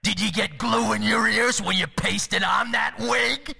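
A jeering dunk-tank clown for an audiobook. The voice is harsh and heavily fried, pitched high and cutting. He taunts and needles with sarcastic relish. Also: steady tone, measured pace, pushed and bright, faint music behind, full character voice. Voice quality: harsh, rasping, gravelly. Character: loud, mocking carnival dunk-tank clown heckling customers. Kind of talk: full-cast audiobook acting.

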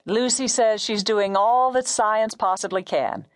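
A woman voicing a smooth, easygoing male radio talk show host in an audiobook story, relaxed and assured. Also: mid-range voice, a little slow, no room sound, voice pushed up, natural voice. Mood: easy, assured.

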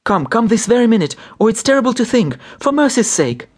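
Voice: mid-range voice, very animated, fairly quick, voice pushed up. A reader voicing a distraught young wife, pleading in a tearful, urgent way, in a story. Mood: frantic pleading.

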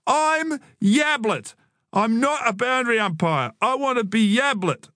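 A man voicing an angry, defiant young boy in an audiobook story, indignant and shouting. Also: very high voice, lively intonation, a little slow, no room sound, studio quality, voice pushed up, heavily acted.

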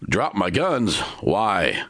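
Man voicing a calm frontier bounty hunter, drawling, bright and lively, dry close sound. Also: fairly low pitch, drawn-out words, voice pushed up, heavily acted. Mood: laid-back. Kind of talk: storytelling.

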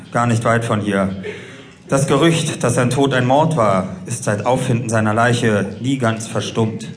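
Guy acting as author presenting his characters on stage, theatrical, deliberate, audio drama. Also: medium pitch, natural voice.

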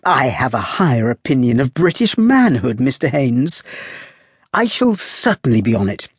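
A man voicing a lively, teasing young woman passenger on a ship, speaking high and playful. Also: normal speed, slightly rough, dry recording, clean studio sound. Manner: bright, playful, raised pitch.

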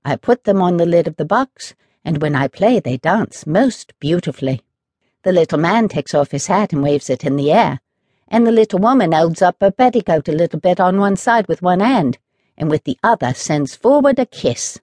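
Storybook village girl, played by an adult woman: cheerful, sly, pleased with herself, raised pitch, very animated, close dry sound. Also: dry recording. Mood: pleased, confiding. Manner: slow, affectionate, pleased delivery. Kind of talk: children's storybook character voice.

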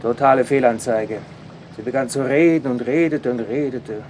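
A man's voice: mid-range voice, moderate pace, darkish tone, slight background noise, close to an everyday voice. A man acting in a German audio drama as a chatty witness, slangy and dismissive in manner. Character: chatty, offhand male witness giving police a statement.

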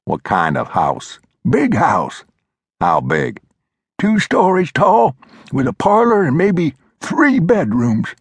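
Man putting on cranky old mountain man, high cackly Old drawl, teasing, husky, dry close sound. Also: huge pitch swings, takes it slowly, no room sound, heavily acted. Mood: proud.

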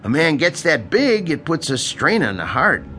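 An actor playing a grumbling, sarcastic, world-weary landlord in an audio drama, wisecracking and exasperated. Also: male voice, fairly high pitch, big pitch swings, normal speed, sharp tone, a little hiss or hum. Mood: reluctant, exasperated.

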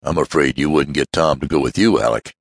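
A man voicing an aged male inventor who speaks in a measured, sensible way. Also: rumbling low, gravelly, slightly rough, no room sound, studio quality, heavily acted. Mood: kindly.

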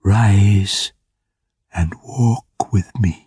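A solemn, ancient spirit from a storybook, as a man acts it. The voice is soft, low and husky, gentle yet commanding. It moves slowly and with measured weight. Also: no echo, clean studio sound, exaggerated voice. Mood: stern.

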